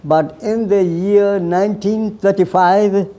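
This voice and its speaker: A man in his nineties from Burma, slowly telling his life story with hesitant pauses and repeated phrases. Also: high voice, fairly even intonation, dark tone. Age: 90s. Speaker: veteran recounting his life. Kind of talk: slow personal storytelling.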